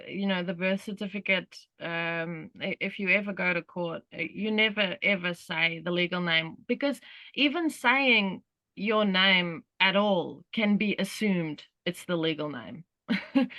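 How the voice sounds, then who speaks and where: A woman's voice: medium pitch, a bit bright, dry recording, dull audio. Woman with an Australian accent, workshop host, loose rambling delivery, dry close sound. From South Africa. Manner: rambling, many "you know" fillers. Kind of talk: casual talk on a group call.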